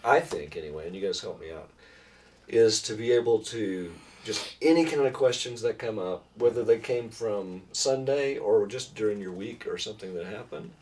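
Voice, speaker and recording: Casual pastor host: middle-aged man, lowish voice, rambling and informal, recorded off-mic with slight noise. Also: normal speed, darkish tone. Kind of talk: casual conversational chat about faith. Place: small room with foam panels. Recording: distant mic, room noise.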